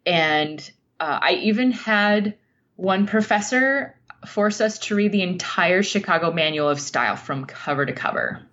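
A woman being interviewed as a writer, speaking in a thoughtful, halting, reflective way. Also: fairly low pitch, lively intonation, a bit bright, highs cut off.

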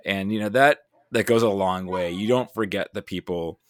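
Male podcast guest, slightly low pitch, informal chatty delivery, dry recording. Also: moderate pace, no echo. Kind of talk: casual chat.

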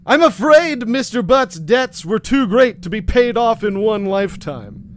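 In this noir tabletop tale, a sly rich casino owner, played by a man speaks in a light, high voice. He talks slowly and courteously. A quiet menace sits under every word. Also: slight background noise. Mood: gloating. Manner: polite, calm, quietly menacing.

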